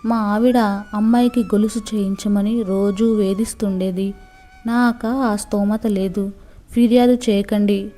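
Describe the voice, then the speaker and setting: A woman's voice: medium pitch, fairly even intonation, unhurried, dark tone, faint music behind, natural voice. A woman storyteller voicing an anxious, guilty village father in a children's story. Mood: pleading. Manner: pleading, curt with his wife.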